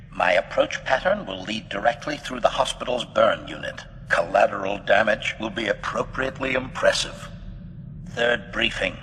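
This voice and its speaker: Killer robot from a game, lowish metal voice, stiff and formal, servile but loves murder, big reverb. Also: male voice, pushed and bright, slight background noise. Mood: smug, precise. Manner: formal, clipped, announces each statement with a label word. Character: bloodthirsty male assassin droid, servile, smugly precise, gleefully murderous. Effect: metallic robot voice filter. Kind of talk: video game character dialogue.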